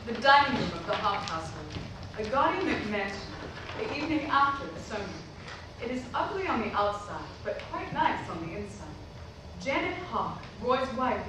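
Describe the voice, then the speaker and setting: A woman reading out stage directions as scripted narration at a staged play reading. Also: fairly high pitch. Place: staged reading in a hall, recorded from afar. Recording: distant, very echoey hall, noisy.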